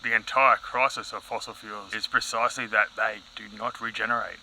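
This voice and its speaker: An actor playing an exasperated, rational professor in an audio comedy, speaking with precise, pedantic emphasis. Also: male voice, lowish voice, fairly even intonation, brisk, sharp tone, slight background noise, rough recording. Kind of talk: satirical news audio comedy acting.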